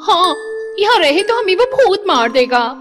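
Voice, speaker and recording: A woman's voice: very high voice, big pitch swings, normal speed, pitched above the performer's own voice. A woman voicing an anxious young hostel girl. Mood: worried. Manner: worried, urgent. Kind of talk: cartoon voice acting. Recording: reverberant, with music behind.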